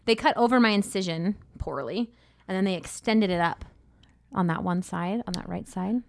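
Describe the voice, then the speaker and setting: A woman's voice: mid-range voice, moderate pace, creaky, no echo, clean studio sound. A woman in her thirties co-hosting a podcast, chatting candidly and laughing often. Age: 30s. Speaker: podcast co-host. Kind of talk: casual podcast chat.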